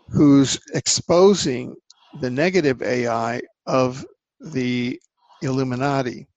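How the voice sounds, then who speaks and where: A man talking earnestly in a casual conversation, halting and rambling as he explains things. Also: medium pitch, no room sound. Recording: dull lo-fi dry sound.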